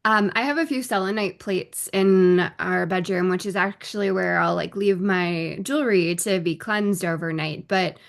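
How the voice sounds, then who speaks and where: A woman's voice: on the low side, normal speed, gravelly, few pauses. A woman in her thirties hosting a podcast, welcoming listeners and chatting casually with her co-host. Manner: friendly, welcoming, some fillers. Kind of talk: casual chat.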